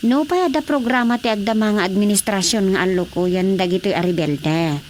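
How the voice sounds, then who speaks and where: A woman's voice: medium pitch, moderate pace, darkish tone, natural voice. A woman playing a caring grown daughter at home in a radio drama, with faint noise behind her. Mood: concerned, soothing.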